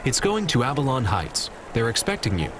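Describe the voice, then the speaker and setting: An actor playing a curt, weary parcel clerk in a sci-fi video game, with a dry manner. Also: male voice, mid-range voice, huge pitch swings, a little fast. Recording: noisy outdoor vehicle ambience.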